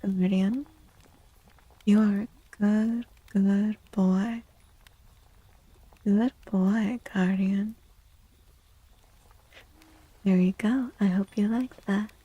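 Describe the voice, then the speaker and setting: A woman hosting a soft-spoken ASMR livestream, hushed and breathy, often slipping into whispers and gently repeating phrases. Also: medium pitch, fairly even intonation, takes it slowly, pauses often, no echo, muffled recording. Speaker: livestream host, tarot reader. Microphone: close microphone in a dry space.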